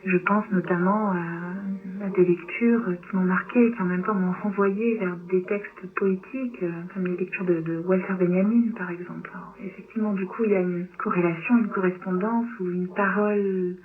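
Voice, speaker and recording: A woman writer answering interview questions in a thoughtful, halting way, pausing between phrases. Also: steady tone, a crowd in the background. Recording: tinny, noisy low-bitrate shortwave radio.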